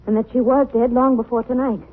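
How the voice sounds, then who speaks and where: A woman's voice: on the high side, fairly even intonation, fast, natural voice. An actress playing an earnest, insistent young lady, companion to a detective, in a 1940s radio drama. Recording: tinny, noisy 1940s radio recording.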